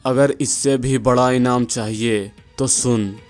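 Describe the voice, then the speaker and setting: A greedy, scheming village moneylender from a moral-story cartoon, acted by a man. He talks slowly in a medium-pitched voice, bossy one moment and wheedling the next, over soft music. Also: steady tone, lightly acted. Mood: scheming, commanding.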